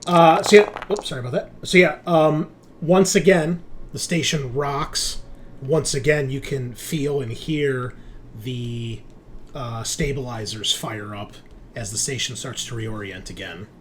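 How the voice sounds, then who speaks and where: A man's voice: mid-range voice, unhurried. A man running a tabletop roleplaying game, moving between conversational rulings and improvised scene narration. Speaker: game master. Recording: clear background noise.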